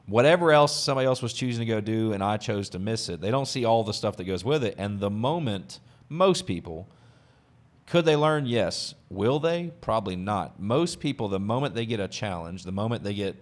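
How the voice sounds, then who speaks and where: A man's voice: fairly low pitch, animated, a little fast. A man hosting a business podcast in casual chat, talking emphatically and repeating his key phrases. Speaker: podcast host.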